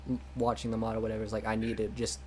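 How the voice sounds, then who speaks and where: A young man streaming a game, chatting casually and rambling with many restarts, quick to laugh. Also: on the low side, monotone, slight background noise. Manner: laughs easily. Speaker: streamer playing a game mod.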